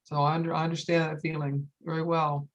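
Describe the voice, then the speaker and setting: A woman's voice: deep voice, little pitch movement, fast talker, highs cut off. Older lady talking earnestly off the cuff, really emphatic, says things twice to drive them home. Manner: emphatic, repeats phrases for stress. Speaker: wildlife sanctuary founder hosting a story time. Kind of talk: earnest informal talk with audience.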